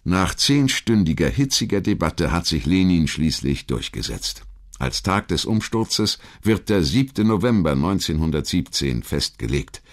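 Low-pitched man narrating history. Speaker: documentary narrator. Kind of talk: scripted historical documentary narration.

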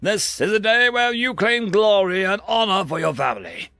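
Man voicing a rousing alien military commander, high-pitched. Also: lively intonation, normal speed, vocal fry, pushed and bright, dry recording, full character voice. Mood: rousing, commanding. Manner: declamatory, commanding.

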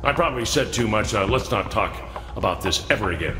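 An actor as a cocky, animated gang boss. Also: male voice, on the low side, quick pace, background noise, natural voice. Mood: bluffing. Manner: brash, swearing, bluffing. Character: cocky gang boss disguised as a military commander. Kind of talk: video game voice acting.

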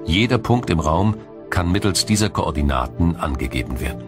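A man with a deep, low voice narrates a scripted science documentary. His delivery is measured and explanatory. Music plays clearly behind him. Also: expressive. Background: background music.

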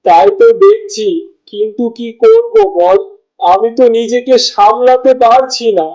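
A man's voice: very high-pitched, very animated, measured pace, darkish tone, highs cut off, heavily acted. A man voicing an anguished poor village farmer, a husband and father, in a cartoon with plaintive, lamenting delivery. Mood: wailing, despairing. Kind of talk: cartoon voice acting.

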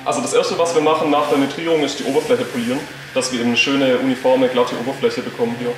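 A man's voice: mid-range voice, fairly even intonation, fast talker. A man in his twenties explains a step of his craft in a workshop. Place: indoor workshop. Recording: echoey workshop with faint noise.

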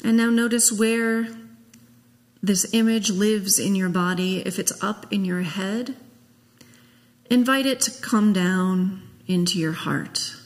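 A woman minister leading a guided meditation, speaking gently and in a measured way with long pauses. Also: medium pitch. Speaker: minister leading a memorial service. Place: large church sanctuary, at a pulpit. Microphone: gooseneck pulpit microphone. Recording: long echo of a church hall.

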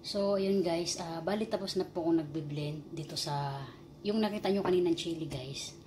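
A woman's voice: fairly low pitch, a little fast, warm tone. A young Filipino woman, a native Tagalog speaker, chatting casually as a cooking vlog host and often addressing her viewers. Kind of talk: casual chat to camera, mixing languages. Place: small tiled home kitchen. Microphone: phone at arm's length. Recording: sizzling, noisy kitchen phone recording. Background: frying and stirring noise.

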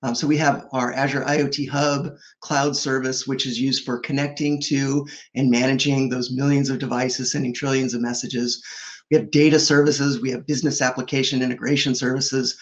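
Guy in his 40s on a webcam call from home, gravelly voice, upbeat and fluent with lots of ums. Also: mid-range voice, flat delivery, normal speed, rough recording. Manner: fluent, upbeat, with frequent fillers. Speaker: product executive guest. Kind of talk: conversational tech keynote. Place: home office, indoors. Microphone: video call webcam.

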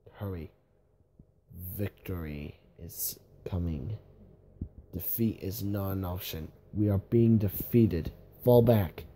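A man's voice: lowish voice, warm tone, slight background noise. Man playing an urgent army commander, very muted tone. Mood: grave. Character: urgent male army commander barking orders at troops. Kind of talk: improvised amateur game-style battle voice lines.

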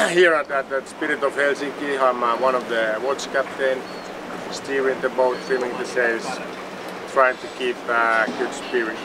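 A Finnish-accented man in his forties talks keenly about sailing, stumbling and doubling words as he looks for the next one. His pitch is middling and steady. Loud outdoor noise sits behind him. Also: a little slow. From Finland. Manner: repeats words, searching, enthusiastic. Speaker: sailing crew member. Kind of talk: self-introduction to camera. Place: outdoors on a yacht in a marina.

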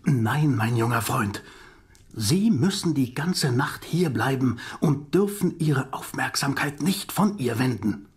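Husky, grave old professor. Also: male voice, mid-range voice, expressive, full character voice. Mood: grave warning. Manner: urgent, solemn, deliberate. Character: grave, commanding older male professor hunting a vampire. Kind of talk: German audio drama acting.

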